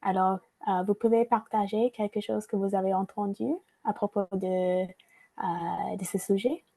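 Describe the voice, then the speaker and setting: A woman leads a virtual workshop through her computer mic. Her tone is mellow and warm. She reads from notes, often hesitating and starting phrases over. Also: medium pitch, steady tone, unhurried, creaky. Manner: frequent hesitations and restarts, reading from notes. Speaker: workshop facilitator. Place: online video call.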